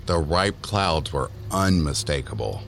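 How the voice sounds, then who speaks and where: A man's voice: extremely low pitch, expressive, a little slow, gravelly, fairly bright tone, slight background noise. A man narrating a scripted horror story as the narrator of an audio drama.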